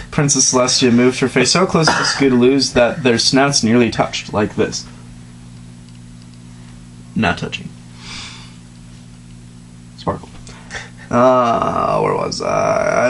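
A man's voice: mid-range voice, big pitch swings, slow pace, vocal fry, pauses often, a bit bright. A young man doing an informal dramatic reading of a story, stumbling over words and breaking off for casual asides. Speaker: reader. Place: small dim room indoors, on a couch. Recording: noisy home recording with hum.

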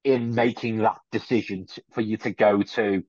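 A man's voice: lowish voice, steady tone, normal speed, darkish tone, no room sound. A middle-aged man hosting a podcast, asking long winding questions and leaning on the word "obviously". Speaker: podcast host. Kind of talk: interviewing. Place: home room indoors. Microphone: video call. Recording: dull lo-fi video call audio.

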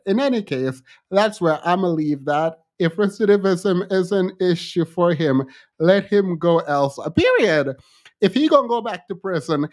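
A man in his thirties hosting a casual livestream commentary, speaking in an animated, sassy and colloquial way into a close desk mic. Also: on the high side. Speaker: livestream host. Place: small indoor home studio. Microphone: close desk microphone.

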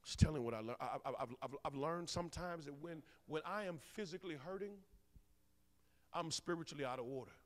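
Man in his forties, pulpit preacher on a handheld mic, fairly high voice, booming then hushed, ribbing the pews, long church-hall echo. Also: fairly quick. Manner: animated preaching, bursts loud then drops soft, teasing the congregation. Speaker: minister. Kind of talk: sermon. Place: large church sanctuary.

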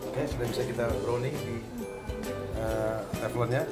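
Loud music sits behind a middle-aged man with an Indonesian accent. He explains cooking steps as a chef, slipping in English kitchen terms. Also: mid-range voice, steady tone, normal speed. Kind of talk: step-by-step cooking demonstration. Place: TV studio kitchen set, indoors. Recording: loud background music, sizzling pan. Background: loud music and frying sounds.

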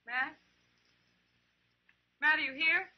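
High-voiced, pleading frontier woman. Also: slow pace. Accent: American. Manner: earnest, insistent, emotional. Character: desperate, pleading young frontier woman, stubbornly loyal. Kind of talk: 1950s radio western acting. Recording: dull old radio recording.

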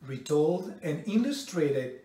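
A middle-aged man reads a picture book aloud in a measured, expressive storytelling way, pausing between phrases. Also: fairly high pitch, a little hiss or hum. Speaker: storybook reader. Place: indoors in a bright home room by a window. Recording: roomy echo, distant microphone.

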